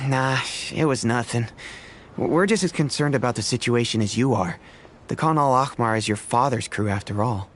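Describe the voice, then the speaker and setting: An actor playing an earnest, level-headed mercenary scout in a video game, explaining things in a measured way. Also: male voice, medium pitch, a little hiss or hum, lightly acted. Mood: modest. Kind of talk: video game character acting. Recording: game music behind the voice.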